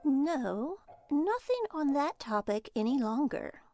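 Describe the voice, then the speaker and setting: High-pitched, disapproving librarian. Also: female voice, very animated, takes it slowly, over music, full character voice. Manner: dismissive, prim. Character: disapproving, curt female librarian. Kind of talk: audio drama acting.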